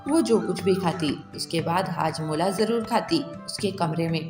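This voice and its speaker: A woman narrating a cartoon story in a steady, plain manner. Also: fairly low pitch, moderate pace. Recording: echoey room with long reverb and music.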